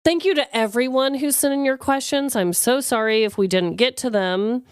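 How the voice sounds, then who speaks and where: A woman's voice: fairly high pitch, normal speed, smooth, no room sound, clean studio sound. A woman co-hosting a podcast in casual chat, giggling often as she signs off the episode with upbeat patter. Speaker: podcast co-host.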